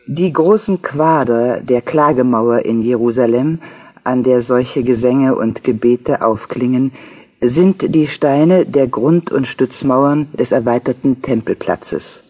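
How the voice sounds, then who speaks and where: A man's voice: medium pitch, slow, darkish tone, muffled recording. A man reading scripted documentary narration in a factual manner. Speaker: audiobook narrator.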